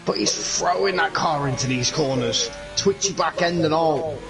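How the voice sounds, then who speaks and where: A man with a croaky voice, chatty and excitable, commentating live on a racing game league. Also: on the high side, normal speed, music in the background. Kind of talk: live esports race commentary.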